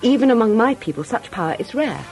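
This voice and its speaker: A woman reads the part of an earnest telepathic alien in a sci-fi radio skit. Her voice is mellow and calm but moves in pitch, with music behind. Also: fast talker, poor audio. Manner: earnest explaining, read from script.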